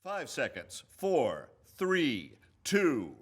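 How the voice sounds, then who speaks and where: A man playing a TV news programme announcer in a radio comedy, with measured, emphatic scripted delivery. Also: mid-range voice, huge pitch swings, breathy edge, full character voice. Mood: grand, formal.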